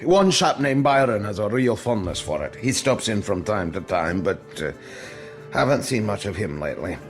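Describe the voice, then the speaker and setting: Lively, hearty barkeep. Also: male voice, on the low side, normal speed. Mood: friendly. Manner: jovial, folksy, theatrical. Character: genial, chatty old immortal male tavern keeper, teasing and hearty. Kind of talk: audio drama acting. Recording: music under the dialogue.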